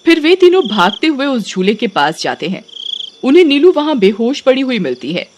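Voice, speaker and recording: A woman's voice: sits high, sing-song, normal speed, a little hiss or hum. A woman narrator reading a scripted story. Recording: music behind the voice.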